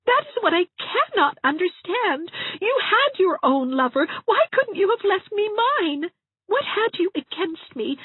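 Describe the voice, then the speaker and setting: A woman's voice: very high-pitched, sing-song, a little slow, no echo, heavily acted. Heartbroken, theatrical young woman voiced by a woman, tearful and self-pitying; dry, close sound. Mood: accusing. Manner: tearful, theatrical, sobbing delivery. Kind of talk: dramatized audiobook reading. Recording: tinny phone-like dry sound.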